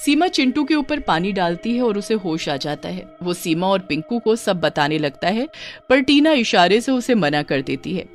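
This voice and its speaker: A woman's voice: mid-range voice, big pitch swings, normal speed, soft background music. A woman narrating a scripted children's horror story.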